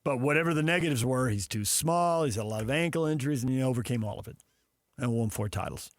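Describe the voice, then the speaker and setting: A man's voice: medium pitch, animated, quick pace, dry recording. A man hosting sports talk radio, discussing in a casual, opinionated way.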